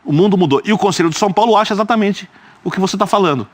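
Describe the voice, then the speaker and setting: A man's voice: sits high, very fast. A man, arguing his view as a guest in a studio football debate, emphatic and explanatory. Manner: emphatic and explanatory, with rhetorical asides.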